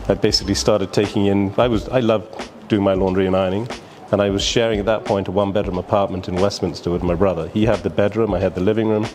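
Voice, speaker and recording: A man's voice: deep voice, steady tone, fast, few pauses, darkish tone, soft background music. A man, a businessman guest telling his life story in a casual, rambling way, often laughing. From Barbados.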